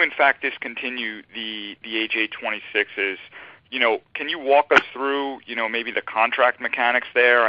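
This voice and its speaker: A man's voice: medium pitch, dry recording. A man asking questions as a financial analyst, casual and rambling, speaking conversationally over a phone line. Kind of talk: informal, conversational questioning on an earnings-style call. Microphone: telephone. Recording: dull, dry phone line.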